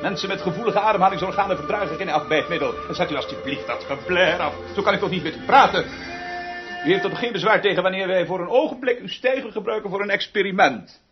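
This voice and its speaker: A man's voice: high-pitched, fast, lightly acted. A man in a radio drama playing a pompous, obsessive music director who declaims grandly and repeats words for emphasis. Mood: pompous, indignant. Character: pompous, obsessive, theatrical male music director, haunted by lost half-sister. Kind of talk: theatrical radio drama acting. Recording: dull lo-fi radio recording with music.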